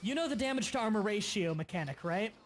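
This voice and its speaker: A young man hosting a casual gaming livestream, explaining game mechanics in quick bursts and sometimes stuttering. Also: sits high, animated. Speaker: livestream host. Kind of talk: casual gaming stream chat.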